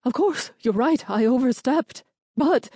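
A woman's voice: on the high side, moderate pace, mellow, no room sound, clean studio sound, natural voice. A woman playing an earnest young female intelligence clerk, anxious but determined, with an urgent, pleading manner. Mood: urgent, defensive. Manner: pleading, self-justifying, urgent.

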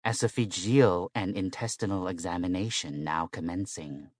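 A man voicing a medical machine that speaks in a flat, clinical monotone. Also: sits low, moderate pace, dry recording, clean studio sound. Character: flat-toned robotic medical machine voice from a mirror.

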